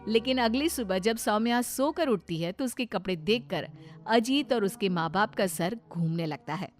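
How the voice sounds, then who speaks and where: A woman reading scripted narration for an animated story. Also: mid-range voice, normal speed, soft background music.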